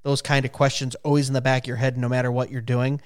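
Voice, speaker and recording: A man in his late thirties hosting a casual podcast chat and running a music quiz for his guests, animated and quick to laugh when someone scores. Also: medium pitch, smooth. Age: 30s. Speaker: podcast host.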